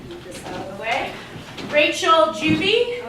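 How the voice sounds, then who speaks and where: A woman's voice: sits high, very animated, unhurried. A woman informally addressing an audience as a workshop leader presenting awards. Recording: very echoey hall, distant mic, loud noise.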